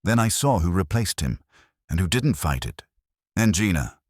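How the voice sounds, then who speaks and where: A man's voice: low-pitched, sing-song, normal speed, no echo, studio quality, voice pushed up. A man voicing a guarded, guilt-ridden woman in an audiobook, subdued and confiding in a resigned way. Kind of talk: audiobook character dialogue.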